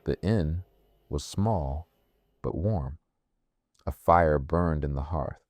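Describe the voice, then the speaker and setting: A man narrating a scripted first-person horror story in a measured, ominous way, with music behind him. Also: a bass voice, a little mellow, no room sound. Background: music bed.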